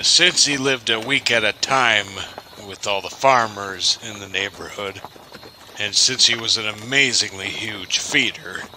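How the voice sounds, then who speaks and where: A man playing a folksy village storyteller, narrating an old legend deliberately in an old-fashioned audio-drama style. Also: on the low side, sharp tone, a little hiss or hum, low-quality recording.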